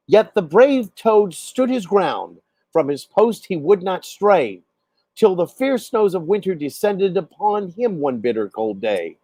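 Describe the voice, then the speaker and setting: Male poetry reader, high mellow voice, deliberate and expressive storybook delivery, very dry close sound. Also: no room sound. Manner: measured, dramatic, deliberate storytelling. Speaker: poet reading own poem.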